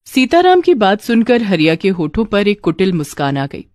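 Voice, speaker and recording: A woman's voice: medium pitch, big pitch swings, normal speed, darkish tone, no echo, clean studio sound. A woman narrating a scripted village cartoon story.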